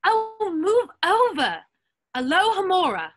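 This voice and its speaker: A woman's voice: very high-pitched, very animated, takes it slowly, a bit bright, a little hiss or hum, exaggerated voice. A woman playing a bossy, know-it-all schoolgirl witch in an amateur script table read.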